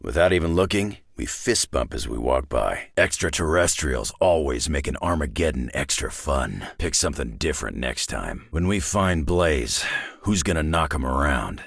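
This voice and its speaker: A man voicing a gruff, surly middle-aged superhero in a video game, raspy and sardonic with clipped folksy tough talk in a North American accent. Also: very deep voice, very animated, moderate pace, a bit bright, no echo, studio quality, full character voice. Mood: dry, gruff. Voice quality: gravelly, raspy. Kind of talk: video game character voice lines.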